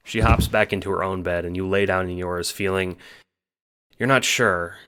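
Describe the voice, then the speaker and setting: A man's voice: sits low, steady tone, normal speed, fairly bright tone, clear, resonant tone. A man reading scripted second-person story narration, as the narrator of an audio drama.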